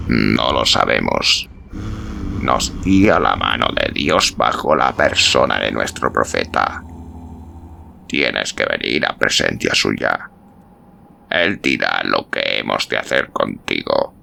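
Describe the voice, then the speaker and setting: A man's voice: extremely low pitch, lively intonation, takes it slowly, creaky, bright, forceful tone, slight background noise, pitched below the performer's own voice, full character voice. A man voicing a severe, devout young pilgrim in a grave, reverent and reproving manner.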